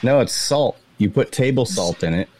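A man's voice: medium pitch, lively intonation, moderate pace, crowd noise. A man in his late thirties co-hosting a podcast from a garage, blunt and swearing freely. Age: 30s. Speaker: podcast co-host. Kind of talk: casual chat.

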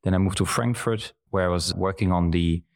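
Deep guy in his 40s, calm measured podcast guest, lists things out. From German-speaking Belgium. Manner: measured, thoughtful, listing examples at length. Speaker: guest, brand executive. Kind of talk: reflective conversational interview.

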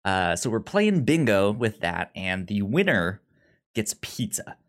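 Guy doing casual podcast hosting, lowish voice, stammers a lot, repeats syllables. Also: sing-song, unhurried. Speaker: podcast host.

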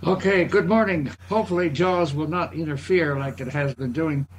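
Guy checking in on a call, bit high voice, halting lists, slight hiss. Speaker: club call-in participant. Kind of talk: casual weather and check-in report. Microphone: remote call connection. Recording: faint noise on group call.

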